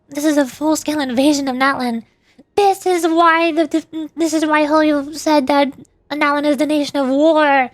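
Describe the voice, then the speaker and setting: A playful, expressive woman, commentating on a video game livestream as a vtuber. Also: sits high, no echo. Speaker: vtuber streamer. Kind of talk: game livestream commentary, reading game text aloud. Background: game music.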